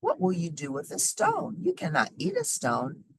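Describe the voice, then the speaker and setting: A woman voicing a gullible little old lady in a storybook, with drawn-out exclamations. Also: on the low side, huge pitch swings, vocal fry, slightly rough, dry recording, pitched above the performer's own voice, full character voice. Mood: puzzled.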